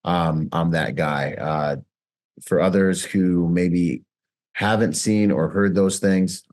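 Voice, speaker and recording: A man in his late thirties giving an energetic, conversational presentation on a video call as host. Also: low-pitched, slow. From Bahamas, grew up in Washington State. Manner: energetic, conversational, self-promoting.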